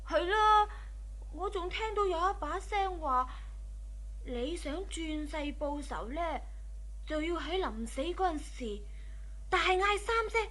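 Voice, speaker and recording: An actress playing a pert, outspoken young sister-in-law who teases freely, in a radio drama. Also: female voice, extremely high pitch, lively intonation, takes it slowly, fairly bright tone, full character voice. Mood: dramatic. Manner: pert, teasing.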